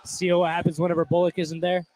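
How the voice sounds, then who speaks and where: Casual American man, slightly high-voiced basketball analyst. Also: completely flat delivery, fast, darkish tone. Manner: casual, slangy. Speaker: color commentator. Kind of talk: live basketball analysis, conversational. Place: indoor high school gym.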